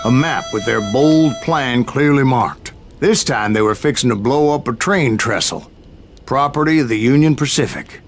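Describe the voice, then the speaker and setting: An actor playing a grizzled older bounty hunter telling his boastful tale in a wry, unhurried American Western drawl. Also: mid-range voice, close to an everyday voice. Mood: gritty, resolute. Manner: folksy, wry, unhurried storytelling. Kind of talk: dramatic first-person game narration. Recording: loud music under the voice.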